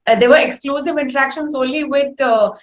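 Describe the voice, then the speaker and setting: A woman with an Indian accent hosts an interview conversationally, halting as she searches for words and repeats phrases. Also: high voice, steady tone, slight background noise. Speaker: interview host. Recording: roomy echo.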